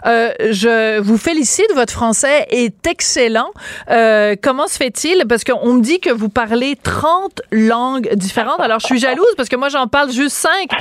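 Female radio host, smooth clear voice, slightly high, dry studio sound. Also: expressive, a little slow, no room sound. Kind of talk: radio interview.

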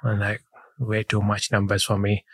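Guy from sri lanka, lowish voice, chill storytelling about his career. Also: monotone, normal speed, fairly bright tone. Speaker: guest, head of data. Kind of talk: casual interview chat.

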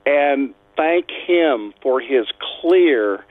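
A man phoning a radio show, talking casually and haltingly as he searches for his words. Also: medium pitch, lively intonation, no room sound. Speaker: phone-in caller. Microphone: phone. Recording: tinny narrow phone line.

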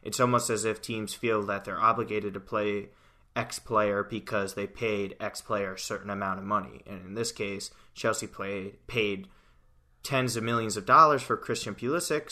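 A young man from the United States hosts a casual soccer podcast. His voice sits a little low but rings bright and sharp, and he talks with lively, conversational energy and plenty of fillers. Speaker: podcast producer and fill-in host.

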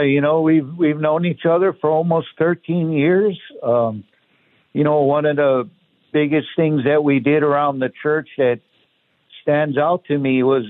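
A man from Hawaii with a Hawaiian pidgin accent, a guest talking casually and relaxed, with lots of "you know". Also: a little high, no room sound. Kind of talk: casual interview talk. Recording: tinny phone line.

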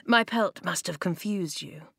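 A woman voicing a gentle, mysterious old man in a bear pelt who speaks low and soft. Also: a little slow, vocal fry, husky, no echo, clean studio sound, heavily acted.

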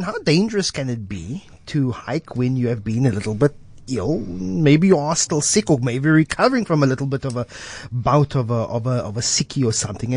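A man's voice: on the high side, darkish tone, no echo. Male radio host, hesitant and prone to restarts, studio talk show.

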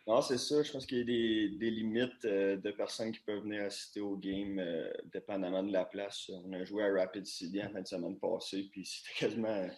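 A young Québécois man answers questions as a pro hockey player. His voice is low and he talks in a relaxed, colloquial way. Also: fairly quick, hardly any pauses. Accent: Québécois French. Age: young adult. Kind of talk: interview answers.

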